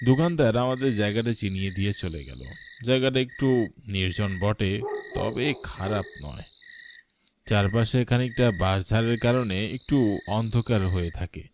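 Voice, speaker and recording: A man narrating a scripted first-person cartoon story in a dramatic storytelling manner, with clear noise behind him. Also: mid-range voice, moderate pace, a little mellow.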